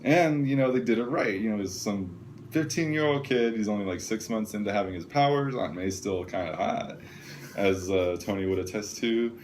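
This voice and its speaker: A man's voice: fairly low pitch, moderate pace, a little mellow, a little hiss or hum. A man co-hosting a casual film discussion podcast in a slangy, loosely phrased way. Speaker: podcast co-host.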